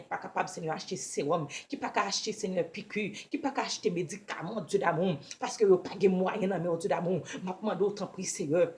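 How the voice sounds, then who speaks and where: A woman's voice: on the low side, a little mellow, a little hiss or hum. A woman with a Haitian accent, a native Creole speaker, leading devotional prayer with repeated invocations and pleading. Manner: repetitive pleading with frequent invocations. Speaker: prayer leader. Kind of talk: scripture reading and fervent spoken prayer.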